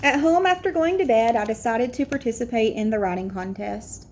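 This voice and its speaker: A woman reading a children's picture book aloud expressively, pausing to explain to young listeners. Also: on the high side, a little mellow, a little hiss or hum. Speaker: read-aloud storyteller.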